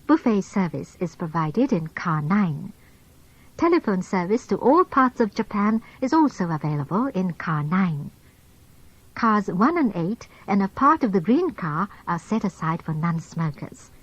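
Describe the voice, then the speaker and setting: A woman reading scripted onboard train announcements in a formal, measured way. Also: medium pitch, sing-song. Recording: dull lo-fi old cassette tape.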